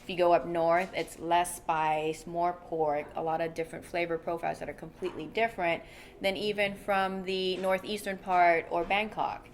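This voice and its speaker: A woman's voice: lowish voice, flat delivery, moderate pace, slight background noise. A young woman from Thailand, a native Thai speaker, answering interview questions cheerfully and fluently as a chef and restaurant founder.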